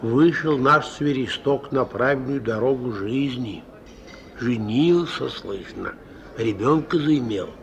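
An actor playing a worn-out old ex-convict in a radio play, sly and meek, talking in folksy thieves' talk with wheedling pet words. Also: male voice, medium pitch, slow pace, creaky, lo-fi sound. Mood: sly, admonishing. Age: older. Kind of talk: mid-century radio play acting. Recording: old radio recording with music behind.

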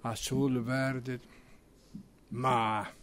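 A man's voice: mid-range voice, level tone, very slow, a bit bright, a little hiss or hum. A man speaking expressively, as if conversing, in an improvised acting exercise. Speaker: radio drama workshop participant. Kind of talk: acting exercise, numbers as dialogue.

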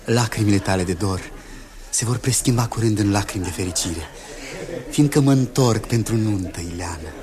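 A man's voice: lowish voice, crowd noise, lightly acted. A man playing a brave young hero prince in a 1960s radio drama, earnest and tender with his bride.

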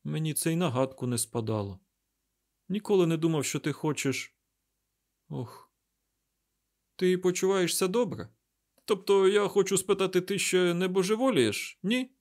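A male narrator plays a hot-headed, jittery young man in a fantasy audiobook. The voice is pushed high and loud, sputtering and exclaiming with agitation. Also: lively intonation, moderate pace, gravelly, pauses often. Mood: uneasy. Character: panicky, hot-tempered young man, blurting and cursing.

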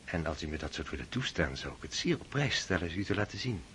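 A smooth, flattering young officer in a radio play. He speaks in a courtly, ingratiating way, with a lowish voice that rises and falls in lively swings. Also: quick pace, slight background noise, full character voice.

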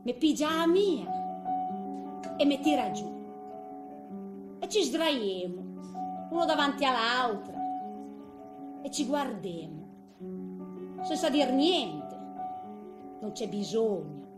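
A woman's voice: fairly high pitch, very animated, slow, pauses often, echoey room, music in the background. An actress playing a tender, chatty eighty-year-old woman with a Ligurian Italian accent, in a homely theatrical monologue. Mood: tender, wistful. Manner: chatty, homely, hesitant storytelling with little interjections.

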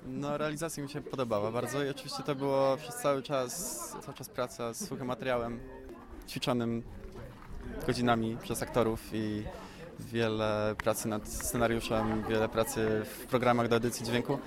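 A man giving casual, reflective interview answers about his creative work, explaining in a halting way. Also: medium pitch, level tone. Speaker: audio drama creator being interviewed. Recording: busy crowd behind the voice. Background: crowd chatter.

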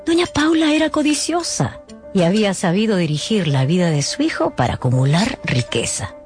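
A radio novel narrator with animated, widely varied intonation, telling the story dramatically. Music plays clearly behind the voice. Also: mid-range voice, moderate pace.

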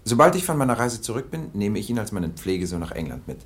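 An actor playing a haughty, decisive English lord in a German radio drama, speaking in a grand, formal, theatrical manner. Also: male voice, on the low side, sing-song, quick pace, slight background noise. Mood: grandly resolute.

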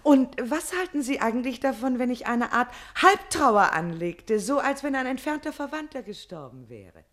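Twittering, coquettish young wife, very lively. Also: female voice, high voice. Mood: coyly pitying. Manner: twittering, coquettish, quickly wandering. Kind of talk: German radio play acting.

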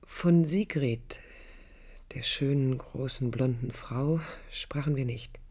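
A woman's voice: a bass voice, slow pace, a little mellow, exaggerated voice. An actress playing a passionate, searching young woman in a radio play, reflective and intimate, sometimes close to a whisper. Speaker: radio drama actress, narrator and lead. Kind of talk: 1980s German radio play acting, intimate monologue. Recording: music under a studio radio recording.

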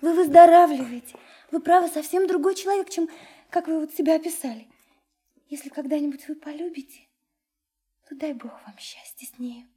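An actress in a radio drama playing a sincere, lovesick young woman, soft and hesitant, often dropping into a breathy whisper. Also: high-pitched, a little fast, natural voice. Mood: grateful, tender. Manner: hesitant, earnest, confiding. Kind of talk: intimate radio drama acting.

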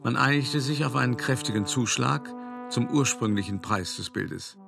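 A man playing a shrewd, worldly art dealer who recounts his past in a radio drama, in a wry, measured, conversational way. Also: medium pitch, gravelly, music in the background. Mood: sly, pleased. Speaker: narrator. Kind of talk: radio drama monologue, first-person storytelling.

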